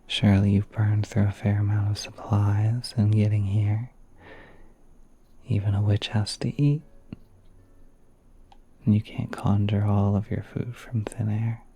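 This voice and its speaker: A man playing a gentle, courteous, shy spider prince in an ASMR roleplay, soft and breathy, often whispering, with polite, hesitant musing. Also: on the low side.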